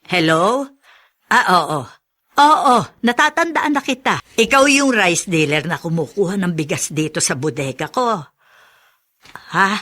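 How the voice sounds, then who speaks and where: Middle-aged mother, radio drama, chatty, judgmental, mid-pitched, expressive interjections. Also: heavily acted. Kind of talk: Filipino radio drama acting.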